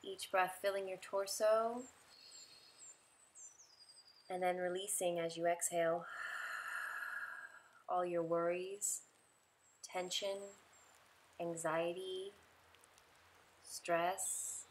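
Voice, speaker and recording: A woman leading a guided relaxation meditation in a soothing, unhurried way, giving instructions in short, spaced phrases. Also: lowish voice, fairly even intonation, slight background noise.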